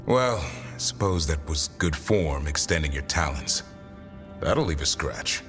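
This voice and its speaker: A man's voice: a bass voice, animated, a little slow. A man playing a protective, principled warrior who handles a beast in a fantasy game, with a firm, emphatic manner. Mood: encouraging. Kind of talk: scripted fantasy game dialogue. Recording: music behind the voice.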